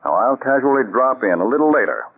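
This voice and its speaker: An actor playing a firm, calm counterspy chief in an old radio drama, speaking in a measured, authoritative way. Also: male voice, mid-range voice, slightly rough. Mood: grave. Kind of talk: 1940s radio acting. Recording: dull lo-fi old radio recording.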